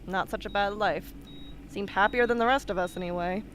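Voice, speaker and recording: A woman's voice: medium pitch, brisk, natural voice. A woman playing a blunt, sardonic, tough young patient in an amateur radio drama, with a mocking delivery. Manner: sarcastic, mocking. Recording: noisy amateur radio play recording.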